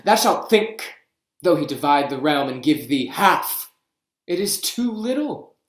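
A man's voice: a little high, very animated, reverberant. A man playing a deposed king, reading verse with sorrow and bitterness on a video call. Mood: bitter. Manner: slow, mournful verse with sharp swells. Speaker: actor in a staged reading. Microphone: webcam on a video call.

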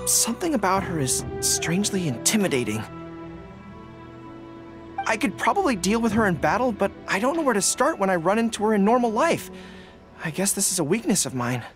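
A young male dog-eared general from a video game. His delivery swings widely in pitch, earnest and emphatic, quick to get flustered. Music plays clearly behind him. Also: very animated. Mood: uneasy, earnest. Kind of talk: acted video game dialogue.

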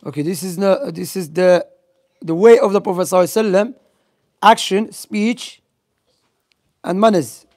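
A man's voice: high voice, normal speed, long pauses, a little mellow. A young man giving an informal Islamic lesson, telling stories and asking quiz questions in non-native English sprinkled with Arabic terms. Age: young adult. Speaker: religious teacher. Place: small indoor library room. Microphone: gooseneck microphone close to the mouth.

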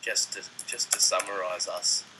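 A man's voice: deep voice, flat delivery, normal speed. A young man chatting casually on a morning livestream from a living room, sleepy and joking. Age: young adult. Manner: sleepy, joking, laughs often. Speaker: band member on livestream. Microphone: laptop webcam mic. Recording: noisy webcam audio.

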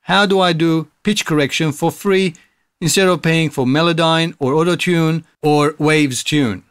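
A man in his thirties with a fairly high voice talks through a tutorial in a casual, explanatory way. The sound is dry and close, as in a small room. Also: no room sound. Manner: explanatory, step-by-step. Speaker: tutorial presenter.

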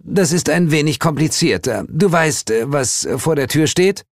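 A man's voice: on the high side, expressive, normal speed, no echo, studio quality, pitched above the performer's own voice. A man voicing an exasperated, droning female ruler of the underworld in an audio story.

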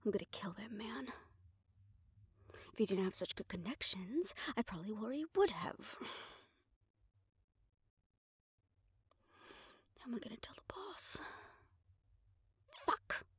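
A woman's voice: a little high, expressive, normal speed, long pauses, breathy edge, no room sound, clean studio sound. A woman playing a stressed, foul-mouthed, fiercely loyal mafia assistant in an audio roleplay, exasperated and clipped. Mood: anxious, muttering. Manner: exasperated, clipped, heavy swearing, sighs. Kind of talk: dramatic audio roleplay, close solo performance.

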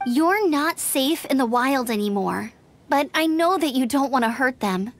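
A woman's voice: high-pitched, expressive, measured pace, a little hiss or hum. A woman voicing a caring, earnest teenage girl knight in an anime-style fantasy game. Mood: concerned, encouraging. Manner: earnest, friendly, concerned.

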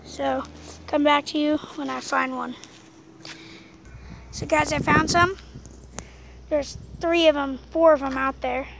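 A woman chatting casually in an outdoor vlog, filming by a pool on a handheld phone, loose with many fillers and dropping quiet while she searches. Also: high-pitched, steady tone, takes it slowly, vocal fry, long pauses, noisy background. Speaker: vlogger. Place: outdoors by an abandoned pool on rural land. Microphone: handheld camera phone filming the water.

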